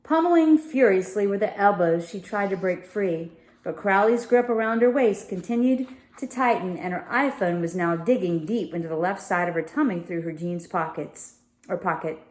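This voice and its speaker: A woman reading a story aloud like a teacher, expressively, with casual asides and self-corrections. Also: medium pitch. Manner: expressive, pausing to correct and comment. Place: home sunroom. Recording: roomy indoor echo.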